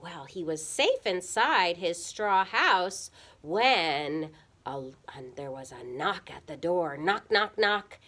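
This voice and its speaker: A woman telling a children's puppet-show story in a dramatic, expressive way that can drop into a hush. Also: lowish voice, takes it slowly. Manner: dramatic, expressive, drops into hushed whispers.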